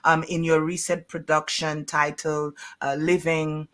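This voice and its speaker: A woman hosting a community radio interview, speaking in a formal, deliberate, courteous way. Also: deep voice, flat delivery, a bit bright. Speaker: radio show host.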